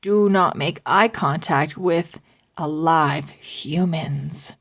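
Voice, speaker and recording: A woman's voice: sits low, slow, creaky, a little hiss or hum, full character voice. A woman voicing an eager, earnest young boy in a children's storybook.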